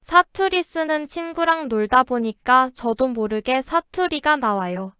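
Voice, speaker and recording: A woman reading example sentences slowly and carefully for a shadowing lesson. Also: sits high, clear, resonant tone, no room sound, clean studio sound. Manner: careful, even, deliberate delivery. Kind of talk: slow, clear model-sentence reading for shadowing.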